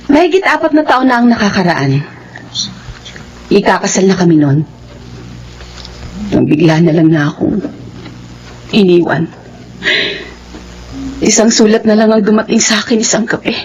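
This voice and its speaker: An actress playing a bitter, earnest jilted former fiancée in a melodramatic Tagalog drama, speaking with feeling. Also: female voice, mid-range voice, expressive, moderate pace, long pauses, darkish tone, highs cut off, a little hiss or hum, lightly acted. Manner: earnest, emotional.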